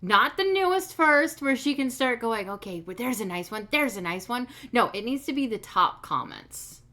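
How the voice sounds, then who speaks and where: Woman, reaction host, bright tense tone, sarcastic, skeptical commentary. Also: fairly high pitch, big pitch swings, normal speed. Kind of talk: commentary over paused clips.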